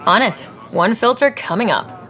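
A woman playing a confident, helpful young space explorer in a video game, casual and assured with a creaky voice. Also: on the high side, animated, moderate pace, sharp tone. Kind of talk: video game dialogue acting.

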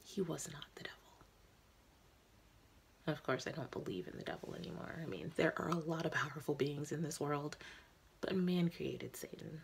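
Casting: actress as sorrowful, ageless young woman, low creaky voice, intimate halting stage-monologue confession, noisy recording. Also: lively intonation. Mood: solemn, resolute. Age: young adult. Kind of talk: dramatic audition monologue.

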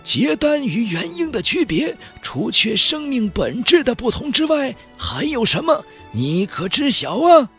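A man voicing a boastful, hearty old immortal Taoist master in a fantasy audiobook, with drawn-out delivery. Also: very high voice, big pitch swings, fairly bright tone, soft background music, pitched above the performer's own voice, heavily acted. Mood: lofty, teasing. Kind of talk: audiobook character acting.

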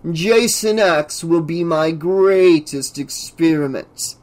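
A man voicing a pompous, demanding male scientist obsessed with his own genius, in a drawn-out, grandiose delivery for an audiobook story. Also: a little high, a little mellow.

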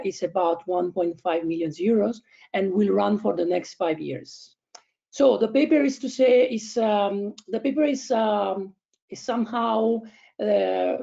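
Gravelly man with an Italian-Spanish accent. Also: high voice, dark tone. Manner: halting, many fillers and restarts. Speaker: researcher presenting a seminar. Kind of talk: online academic presentation. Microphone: laptop webcam, video call. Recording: dull lo-fi video call audio.

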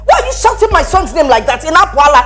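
A woman's voice: high-pitched, sing-song, quick pace, fairly bright tone, faint music behind. A middle-aged woman playing a fierce, overprotective mother-in-law in a film drama, scolding with taunting questions. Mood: combative. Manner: confrontational, taunting rhetorical questions. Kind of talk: heated film drama acting.

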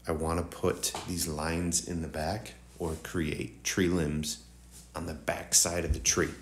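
A man's voice: low-pitched, level tone, slow pace, slight background noise. A man with a slightly nasal, congested morning voice giving a casual, rambling tutorial voice-over straight to viewers. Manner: informal, rambling, addresses viewers directly. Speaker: host of woodworking tutorial channel.

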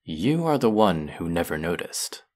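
A man playing a vengeful, brilliant fantasy villain in an audio roleplay, deliberate, sardonic and threatening, with an intimate delivery that can drop toward a whisper. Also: deep voice, very animated, darkish tone, heavily acted. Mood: mocking, bitter.

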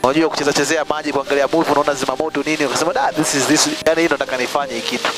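A man's voice: on the high side, steady tone, rapid-fire, over music. A young man from Tanzania, a pilot, chatting casually in a small cockpit during flight, switching between languages and laughing as he tells stories. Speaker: pilot and vlogger. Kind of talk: casual storytelling mixing Swahili and English. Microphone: headset boom mic.

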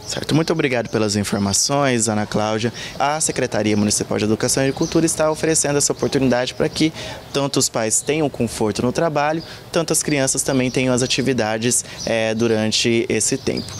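A man's voice: medium pitch, a little fast. A Brazilian man in his thirties reporting from outdoors and asking interview questions. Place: outdoors in a leafy yard. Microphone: handheld reporter microphone. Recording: faint outdoor background noise.

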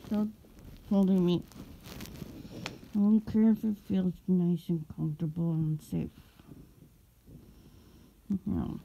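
A woman acts out a drowsy young roommate in a cozy ASMR roleplay. Her voice is soft and mellow with plenty of creaky fry, grumbly yet affectionate. Some rustling noise sits behind her. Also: mid-range voice, low-quality recording. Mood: grumpy, sleepy. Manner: drowsy, mumbling, pouty, drawn-out. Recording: close recording with background rustling noise. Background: fabric rustling.

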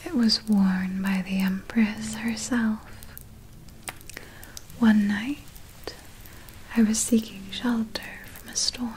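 Wicked female vampire , played by a woman, ASMR monologue. Breathy whisper, extremely unhurried, deliberate, relishing delivery. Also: mid-range voice, darkish tone, rough recording. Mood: hushed, reminiscing. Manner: slow, deliberate, savoring storytelling. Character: wicked female vampire, savoring past hunts and treasures.

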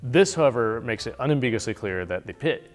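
A man's voice: medium pitch, animated, fairly quick, music in the background. A man in a casual film discussion, speaking as a review show panelist.

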